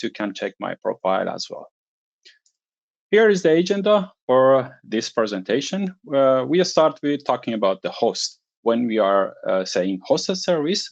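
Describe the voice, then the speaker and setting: A man with an Iranian accent giving a technical presentation as a conference speaker, in a halting way with frequent pauses. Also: mid-range voice, creaky, a little mellow, dry recording, lo-fi sound. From Iran. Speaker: conference speaker, software engineer.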